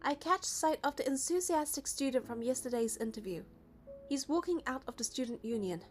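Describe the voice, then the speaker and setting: High-voiced woman narrating a story game. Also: expressive, a little fast, soft background music. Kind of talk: first-person story narration, reading dialogue.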